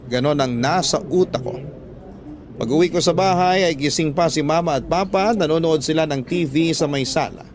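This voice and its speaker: A man's voice: fairly high pitch, slight background noise. A man with a Filipino accent narrating a scripted first-person story dramatically, as a radio host reading a listener's letter.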